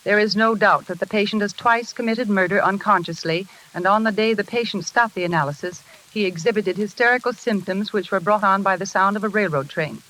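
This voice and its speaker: Clinical shrink dictating notes, high sharp voice, old radio. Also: male voice, a little fast, few pauses, low-quality recording. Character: formal psychiatrist dictating a case record. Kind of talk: 1940s radio acting.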